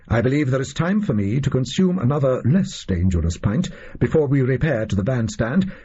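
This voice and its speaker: A man voicing a self-assured, shrewd gentleman detective in an audiobook story, precise, theatrical and condescending. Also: mid-range voice, breathy edge, dull audio. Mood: calm, wry.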